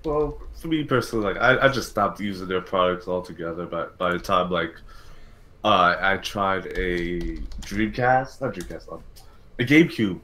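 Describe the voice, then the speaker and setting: A man hosting a casual gaming podcast, talking in a halting way and often repeating his words. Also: deep voice, sharp tone. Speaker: podcast host.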